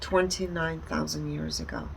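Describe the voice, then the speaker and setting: A woman giving a conversational, explanatory presentation in deliberate short phrases. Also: sits low, sing-song, vocal fry, poor audio. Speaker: speaker presenting energy readings. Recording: background ambient noise.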